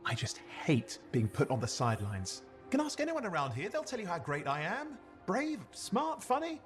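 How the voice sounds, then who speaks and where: Cocky young sidekick from a video game, voice all over the place, braggy, wry, kinda touchy. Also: male voice, high voice, wildly swooping pitch, moderate pace, soft background music. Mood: wounded, defensive. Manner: boastful, wry banter. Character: cocky young man, eager cipher sidekick, wounded pride. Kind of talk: video game cutscene acting.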